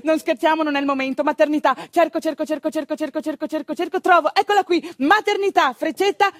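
Casting: naive, bubbly mum-to-be, extremely high voice, breathless comic theatre chatter, close dry sound. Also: female voice, steady tone, fast, a bit bright, no room sound. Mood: eager, confident. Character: excitable, chatty, naive expectant mother in hospital.

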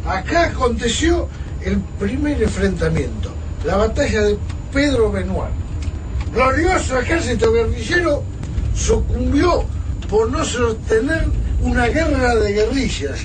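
A man's voice: very high voice, lively intonation, a little slow, distant mic, noisy background. A man acting a solemn, bookish, pompous historian in a radio drama. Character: solemn, bookish male historian, dazed survivor.

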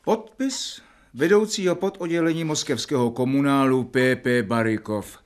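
An actor playing a dutiful, earnest assistant doctor in a radio drama, respectful and a little nervous. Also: male voice, mid-range voice, natural voice. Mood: formal.

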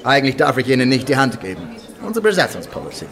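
An actor playing a patronizing postwar visitor, jovial with a barbed edge, in a radio drama. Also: male voice, medium pitch, huge pitch swings, quick pace. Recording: noisy party crowd behind.

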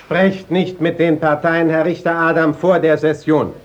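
An actor playing an authoritative court inspector, formal and impatient, in a 1950s German radio play. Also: male voice, fairly high pitch, little pitch movement, moderate pace, dull audio, natural voice. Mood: stern. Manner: crisp, commanding, formal.

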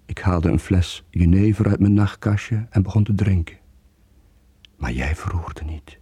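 A guilt-ridden sailor in a radio drama. The voice is extremely deep and dark, husky and dry, brooding over every confession. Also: male voice, a little slow, dry recording, exaggerated voice. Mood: anguished, regretful. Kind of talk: 1980s radio drama, intimate narrating monologue.

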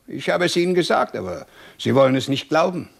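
A jovial, grumbling caretaker and father for a radio play. His voice is raspy and creaky and swings widely in pitch. He teases in a hearty, folksy way. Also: expressive, brisk, exaggerated voice. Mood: jovial, mocking. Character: gruff, jovial, teasing Russian building caretaker and father. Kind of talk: German radio play acting.

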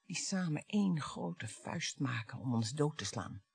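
An actress playing a wounded, bitter wife and mother in a radio drama, theatrical and sarcastic, moving between hushed and emphatic speech. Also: deep voice, big pitch swings, unhurried, gravelly, husky, no echo, exaggerated voice. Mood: hushed, haunted.

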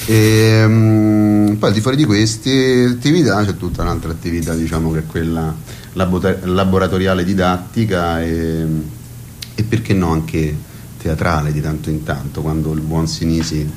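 A man's voice: sits low, fairly even intonation, normal speed, a little mellow, a little hiss or hum. A man talking casually as a performer interviewed on the radio, ironic and playful. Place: radio studio.

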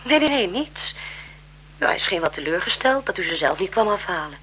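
A prim governess in a seventies radio drama. Her earnest voice is bright and forward with lively intonation, over a faint hiss of noise. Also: mid-range voice, normal speed. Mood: enthusiastic, emphatic. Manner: emphatic, earnest, respectful. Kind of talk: 1970s Dutch radio play acting.

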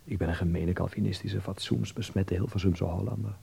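A young Dutchman in a radio drama, naive and prudish. His voice is dark and gravelly, low in pitch, and he talks hesitantly, as if to himself. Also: steady tone, fairly quick, a little hiss or hum. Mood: shocked muttering. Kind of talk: radio drama monologue and dialogue.

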